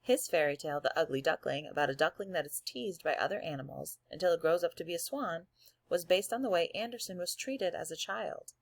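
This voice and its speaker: A woman's voice: fairly low pitch, a little fast, gravelly, no echo. A woman schoolteacher reading aloud and giving her class encouraging, step-by-step instructions in a recorded lesson. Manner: addresses pupils directly, encouraging, step-by-step. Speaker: elementary school teacher.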